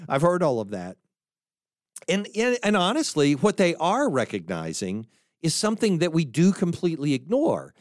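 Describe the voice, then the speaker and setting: Animated American man lecturing on philosophy. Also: on the high side, dry recording, studio quality. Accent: General American. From United States. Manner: thoughtful, halting, with frequent restarts and asides. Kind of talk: unscripted spoken lecture.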